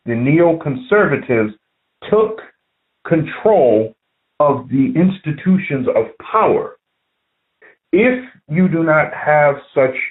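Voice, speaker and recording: American guy calling in a voicemail, slow deliberate emphatic talk, thin tinny phone sound, earnest commentary. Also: medium pitch. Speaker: podcast listener leaving a voicemail. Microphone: telephone.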